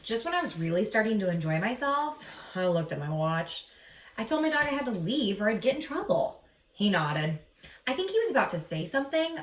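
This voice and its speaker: A woman reading a picture book aloud to young listeners in an expressive, teacherly way, with dramatic pauses. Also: mid-range voice. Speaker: read-aloud storyteller. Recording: echoey room, slightly distant mic.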